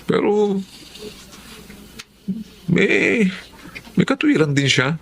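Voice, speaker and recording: An actor playing a jealous young boyfriend who works on a bus, coaxing and animated, in a Filipino radio drama. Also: male voice, sits high, very slow, gravelly, heavily acted. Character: young male bus worker, jealous, persuasive suitor.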